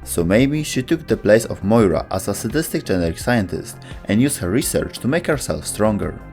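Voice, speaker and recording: A man's voice: on the low side, animated, moderate pace, a little mellow. A man narrating a scripted video essay about game lore. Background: music.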